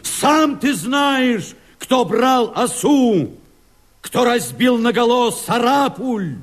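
An actor playing a proud, battle-hardened and anxious Cossack, declaiming verse vehemently with heavy theatrical stresses in a radio drama. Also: male voice, extremely high pitch, lively intonation, extremely slow, heavily acted. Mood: defiant, proud.

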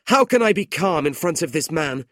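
A man's voice: mid-range voice, lively intonation, normal speed, dry recording, studio quality, voice pushed up, close to an everyday voice. A man voicing a proud, honest young man who speaks earnestly and flares with indignation, in a storybook reading. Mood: indignant.

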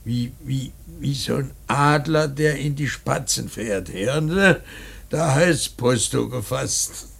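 A man playing a grieving, bitter old painter in a radio drama, speaking in a halting, emphatic, theatrical monologue with a rough, worn voice. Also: mid-range voice, fairly bright tone, heavily acted. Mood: solemn, defiant. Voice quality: husky, gravelly. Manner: halting, emphatic, swelling from murmurs to outcries. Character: grieving old painter mourning his dead son, bitter, rambling.

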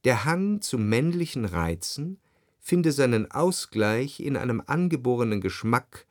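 An actor playing a refined first-person narrator in a radio drama, speaking in a measured, precise, reflective literary manner. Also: male voice, mid-range voice, big pitch swings. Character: reflective, refined male narrator recalling Parisian salon society. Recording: faint music behind the voice.

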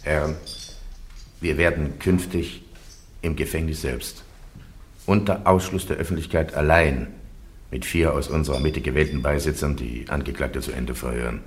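An extremely low, authoritative voice plays a stern church judge in a radio drama. He proclaims formally and with measure, on an old recording with clear noise. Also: steady tone, normal speed. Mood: formal, commanding. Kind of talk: German radio play acting.

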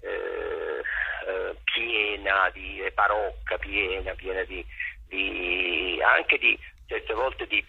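A raspy-voiced man explaining his work reflectively as a theatre director in an interview, halting and repeating phrases as he searches for words. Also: sits low, fairly even intonation, dry recording. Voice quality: gravelly, raspy. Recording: dull lo-fi radio or telephone sound.

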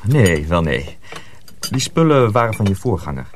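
A man playing a kind, calm journeyman in a radio drama, reassuring and understated. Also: sits low, normal speed. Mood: guarded, calm. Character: kind, calm older journeyman, a protective male friend. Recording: very noisy radio drama recording.

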